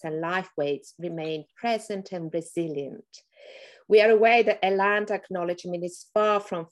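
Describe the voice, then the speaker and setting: A woman with an Italian accent hosting an academic podcast, speaking thoughtfully and pausing often with ums as she searches for words. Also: fairly low pitch. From Northern Italy. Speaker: podcast host, anthropology professor. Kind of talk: podcast introduction.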